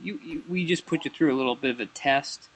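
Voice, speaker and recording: A man's voice: a little high, quick pace, background noise. A man improvising a prank phone call as a comedy host, rambling and stammering and often repeating himself.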